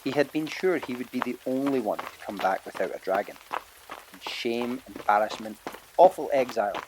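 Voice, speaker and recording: A man's voice: mid-range voice, animated, normal speed, background noise. A man reading a children's adventure audiobook as a scripted narrator.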